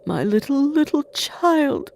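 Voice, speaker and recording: A woman voicing a gentle, grieving poor father in a storybook, with a tender, emotional delivery. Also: sits high, very animated, slow pace, gravelly, pitched above the performer's own voice, full character voice. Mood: grief-stricken. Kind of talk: storybook character voice.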